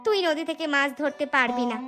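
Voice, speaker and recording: A woman's voice: sits high, fast, music in the background, lightly acted. A woman voicing a protective elder sister in a cartoon fairy tale, talking in a cautioning, reassuring manner. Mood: worried, cautioning.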